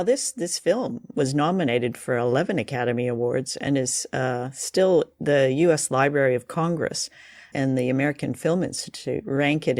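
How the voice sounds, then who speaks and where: A woman's voice: very deep voice, gravelly, a little mellow, dry recording, clean studio sound. A woman hosting a radio show and podcast in a conversational style.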